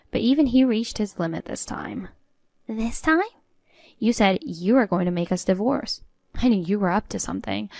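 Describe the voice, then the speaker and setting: A woman's voice: medium pitch, gravelly, darkish tone, no echo, clean studio sound. A woman playing a defiant, sarcastic adult daughter-in-law in a story, composed and matter-of-fact.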